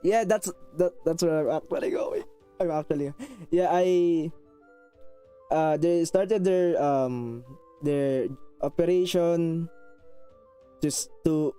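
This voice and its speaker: Filipino man, slightly high, smooth voice, thick accent, chatty and self-conscious streamer. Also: warm tone. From Philippines. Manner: rambling, frequent restarts, self-conscious and apologetic; switches into Tagalog phrases. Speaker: VTuber streamer. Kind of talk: casual chat with live viewers.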